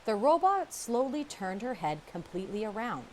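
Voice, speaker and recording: A woman reading a children's chapter book aloud, with expressive, measured storytelling and dramatic pauses. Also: a little high, a little hiss or hum. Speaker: storybook reader. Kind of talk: children's audiobook read-aloud, scripted narration.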